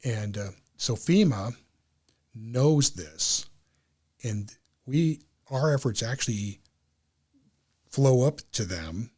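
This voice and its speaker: A man's voice: fairly low pitch, darkish tone, breathy edge. A man speaking as a club member and guest in a casual interview, explaining things earnestly.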